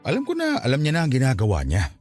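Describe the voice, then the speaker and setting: Gentle Filipino father, swooping intonation. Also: medium pitch, wildly swooping pitch, rapid-fire. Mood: puzzled, placating. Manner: mild, conciliatory, fatherly. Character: gentle, patient, poor Filipino father, older man. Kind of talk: Filipino radio drama acting. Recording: studio drama with music behind.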